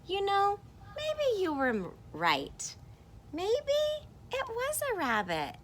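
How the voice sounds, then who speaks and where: An excitable storybook character acted out by a woman, argumentative and emphatic. The pitch jumps high and swoops in an exaggerated singsong, over some background noise. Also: takes it slowly, full character voice. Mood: arguing.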